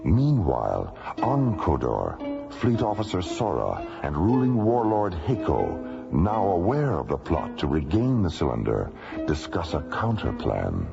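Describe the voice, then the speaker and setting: A man's voice: rumbling low, wildly swooping pitch, measured pace, creaky. A man giving dramatic scripted narration as the announcer of a radio adventure serial. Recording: dull radio recording under loud music.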